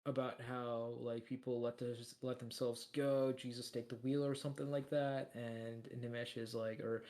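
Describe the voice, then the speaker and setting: A man with a deep voice co-hosting a podcast, rambling in casual chat with lots of fillers and restarts. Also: flat delivery, moderate pace, darkish tone. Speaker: podcast co-host.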